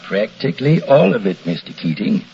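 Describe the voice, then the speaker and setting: A contented middle-aged American husband gives a 1940s radio testimonial. His medium-pitched voice moves around a lot, and the old recording is muffled with faint hiss. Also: sing-song, normal speed, mellow. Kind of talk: 1940s radio commercial acting.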